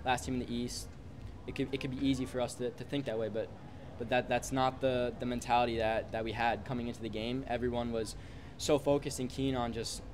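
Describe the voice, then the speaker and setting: A man in his twenties, a pro soccer player, answering reporters quickly after a match, often repeating words as he goes. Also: mid-range voice. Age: 20s. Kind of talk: post-game media scrum. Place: busy stadium mixed zone, indoors. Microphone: reporters' phones and handheld mics held close. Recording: loud background chatter noise.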